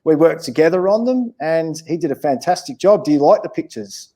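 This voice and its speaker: An Australian man speaks as a children's author in a relaxed interview. His voice is mellow and sits a little high for a man. He explains things in plain, encouraging words meant for children. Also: a little fast.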